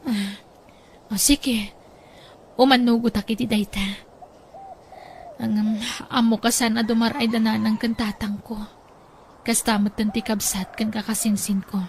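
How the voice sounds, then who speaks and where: Woman voicing a gentle sincere mother, high light voice, soft and polite, audio drama. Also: flat delivery, a little slow, long pauses, slight background noise. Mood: soft, patient. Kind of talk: radio-style drama acting.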